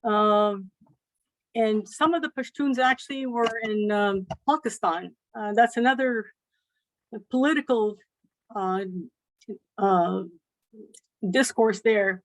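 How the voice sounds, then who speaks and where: Woman lecturer from Afghanistan, mid pitch, measured, frequent ums, dry close sound. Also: no echo, low-quality recording. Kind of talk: educational presentation.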